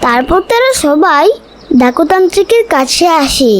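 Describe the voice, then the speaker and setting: A woman's voice: sits high, sing-song, moderate pace, a little hiss or hum. A woman reading dramatic scripted narration for a children's cartoon story.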